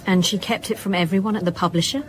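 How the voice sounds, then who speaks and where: An actress in a radio drama playing a shrewd, composed police inspector with a dry, probing manner. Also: female voice, on the low side, fairly even intonation, natural voice, low-quality recording. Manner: probing, dry, deliberate questioning. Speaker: actor.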